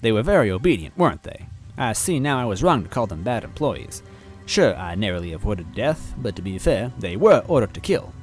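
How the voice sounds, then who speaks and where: A man with a low voice reads a story aloud in first person, deadpan and mock-earnest. Faint music plays beneath him. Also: a little fast. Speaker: story reader.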